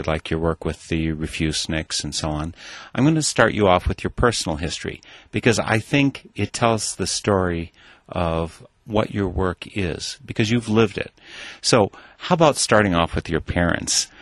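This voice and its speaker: A man hosting a talk show, introducing his guest and asking measured, thoughtful questions. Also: deep voice, dry recording. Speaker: radio show host. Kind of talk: interview hosting.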